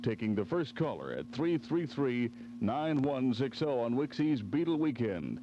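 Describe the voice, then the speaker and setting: A noisy, dull old AM aircheck with hum carries an American male DJ. His voice is fairly low and swings widely in pitch as he runs a phone-in giveaway, repeating details with care. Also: big pitch swings, mellow. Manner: repeats and spells things out deliberately. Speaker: radio DJ. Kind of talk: 1970s pop radio DJ patter, album giveaway and phone-in.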